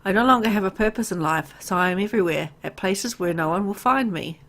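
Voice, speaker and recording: A woman's voice: medium pitch, heavy background noise, lightly acted. A woman voicing a grieving, vengeful father haunted by guilt, reading a visual novel aloud in a dramatic, brooding manner. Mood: weary. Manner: dramatic, brooding, deliberate phrasing. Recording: music under the voice.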